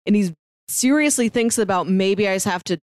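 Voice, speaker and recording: A woman's voice: medium pitch, fairly quick, a little mellow, dry recording. A woman co-hosting a podcast, casually discussing a story and acting out bits of dialogue as she retells it. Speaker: podcast co-host. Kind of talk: casual discussion of an audio drama.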